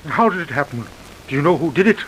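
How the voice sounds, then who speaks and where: An earnest, protective American husband in a vintage radio crime play. His highish voice moves around a lot in distress, on a slightly hissy old recording. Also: lively intonation, quick pace, fairly bright tone, low-quality recording. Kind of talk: old-time radio crime drama acting.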